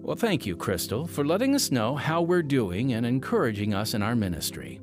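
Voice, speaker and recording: An American man hosting a scripted radio ministry program, speaking in an earnest, measured announcer's delivery. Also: medium pitch, big pitch swings. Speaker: radio show host and announcer. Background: music.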